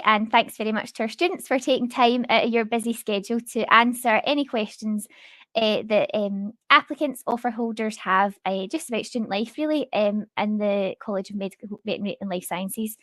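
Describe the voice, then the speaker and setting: A woman's voice: fairly high pitch, fairly bright tone, no room sound, clean studio sound. A woman hosting an online student panel in an informal, slightly hesitant way over a video call. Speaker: host, university staff moderator.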